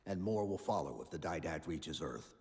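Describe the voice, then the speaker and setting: An actor playing a stoic armored super-soldier in a video game cutscene, speaking in terse, clipped commands. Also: male voice, very deep voice, animated, moderate pace. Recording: long reverb game cutscene mix.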